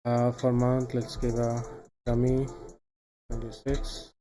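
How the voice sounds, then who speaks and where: A man from Bangladesh, thinking aloud in casual live coding commentary, halting and trailing off into quiet asides. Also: mid-range voice, monotone. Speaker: live streamer building software.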